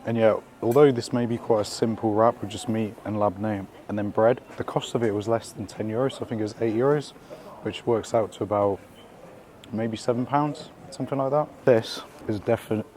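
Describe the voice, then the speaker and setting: A man hosting a casual food vlog while out in the city, his voice croaky from being ill, chatty and upbeat with lots of slang and fillers. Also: fairly low pitch, a little mellow, a little hiss or hum. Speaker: food vlogger. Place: out and about in city streets and a small eatery.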